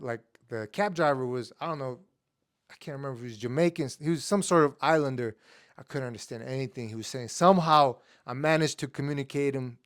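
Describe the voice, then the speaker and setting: Smooth-voiced Armenian-born man telling stories. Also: mid-range voice. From Estonia. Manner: relaxed, many fillers, acts out his younger self with rising excitement. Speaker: guest, MMA fighter. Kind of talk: casual interview storytelling.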